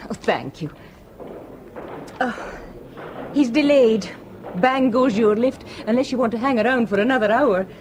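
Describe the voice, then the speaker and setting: An actress playing a brisk, practical young local woman in a radio play. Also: a little high, darkish tone, lightly acted. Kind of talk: 1970s radio drama acting. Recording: outdoor scene with clear noise.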